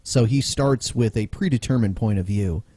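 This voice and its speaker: Mellow, chatty podcast host man. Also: on the low side, normal speed, breathy edge. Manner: relaxed, chatty, laughs easily. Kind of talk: casual podcast commentary.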